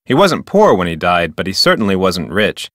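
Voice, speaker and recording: A man's voice: sits low, sing-song, moderate pace, fairly bright tone, no room sound, clean studio sound. A man playing an officious, rule-bound park ranger in an adventure game, with a formal, wordy manner. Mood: informative, matter-of-fact. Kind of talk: scripted adventure game dialogue.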